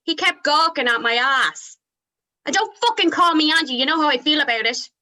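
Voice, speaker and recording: Woman doing an angry sweary band girl, sharp bright voice, kinda high, scornful, script read. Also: expressive, moderate pace. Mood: angry, impatient. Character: abrasive, short-tempered, foul-mouthed woman in rock band. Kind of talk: informal script table read.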